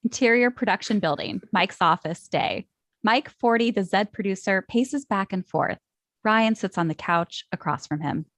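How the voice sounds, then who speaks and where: A woman's voice: medium pitch, lively intonation, normal speed, fairly bright tone, no echo. A woman giving scripted narration, reading out stage directions.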